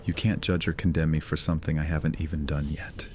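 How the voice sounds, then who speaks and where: A man's voice: extremely low pitch, level tone, quick pace, a little hiss or hum. A man playing a brooding, defiant outcast vampire in a fantasy audio drama, with a sardonic way of talking. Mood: defiant, annoyed. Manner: sardonic, hesitant fillers turning defiant. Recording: music under the voice.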